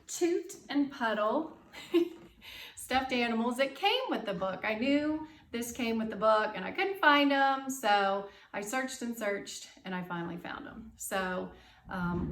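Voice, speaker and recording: A woman's voice: mid-range voice, fairly bright tone, echoey room, a little hiss or hum. A woman speaking informally as an elementary school teacher, chatting and reading a storybook aloud to children.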